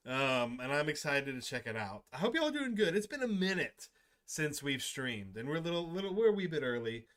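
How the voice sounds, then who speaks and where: A man's voice: on the high side, sing-song, brisk, a bit bright. A man streaming from home on a desk microphone, chatting casually and upbeat with his viewers, rambling with restarts. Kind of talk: casual livestream chat.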